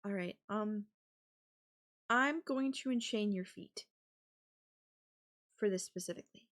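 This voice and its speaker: A young woman playing a sweet, possessive yandere girlfriend in an intimate audio roleplay, speaking softly and haltingly to the listener. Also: mid-range voice. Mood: doting, gentle.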